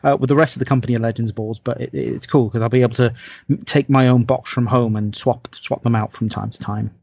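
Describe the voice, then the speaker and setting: A man co-hosting a podcast in casual hobby chat, speaking in a halting, rambling way and repeating his phrases. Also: mid-range voice, steady tone, darkish tone, husky, muffled recording. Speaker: podcast co-host.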